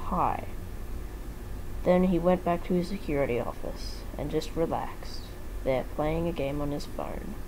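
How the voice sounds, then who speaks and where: A woman's voice: low-pitched, normal speed, noisy background. A woman reading fan fiction aloud as an amateur narrator, with casual asides to listeners.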